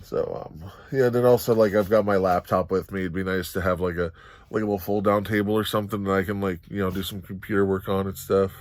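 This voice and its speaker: Low-voiced man rambling casually in a van. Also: fairly even intonation, quick pace, a little mellow. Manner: rambling and easygoing, many ums and you-knows. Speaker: van expediting driver vlogger. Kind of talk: casual talking-head vlog. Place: inside a cargo van.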